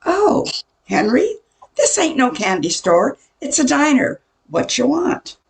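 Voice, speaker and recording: Older woman playing a brassy, slow-talking diner waitress. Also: high-pitched, sing-song, vocal fry, heavily acted. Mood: indignant, scolding. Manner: sassy, blunt, indignant. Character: brassy, short-tempered roadside diner waitress Margaret. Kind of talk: amateur radio play acting.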